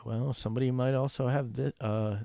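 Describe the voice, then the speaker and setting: A man's voice: mid-range voice, steady tone, fairly quick, creaky, mellow, no room sound. A man hosting a casual comedic solo podcast from his bedroom, rambling with jokes and fillers. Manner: rambling, jokey, with frequent fillers. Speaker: podcast host.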